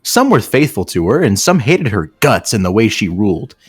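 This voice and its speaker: A low-voiced man reads a story aloud in a casual, mocking way. His pitch swings around a lot and the recording is dry and close. He often drops the reading for sarcastic side comments. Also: sing-song, normal speed, breathy edge, dry recording. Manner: joking, breaks off into sarcastic asides. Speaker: host reading fan fiction aloud with friends.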